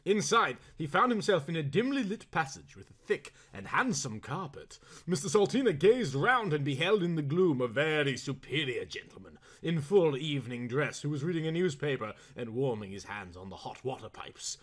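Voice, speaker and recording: High-pitched, animated man reading a story. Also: normal speed, husky. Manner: theatrical, expressive reading. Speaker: audiobook reader. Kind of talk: dramatized storybook narration.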